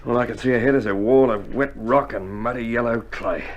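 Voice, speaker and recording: An actor playing a steady, matey Australian soldier, laconic and down-to-earth, in a 1960s radio drama. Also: male voice, medium pitch, fairly quick, muffled recording, close to an everyday voice. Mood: wary, dogged. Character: cheerful, steady, laconic infantry soldier under fire. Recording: noisy old vinyl radio drama recording.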